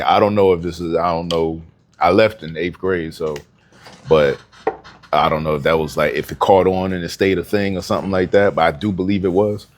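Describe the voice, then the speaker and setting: A man from New Jersey co-hosting a podcast, telling stories in casual chat with laid-back slang. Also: sits low. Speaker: podcast co-host.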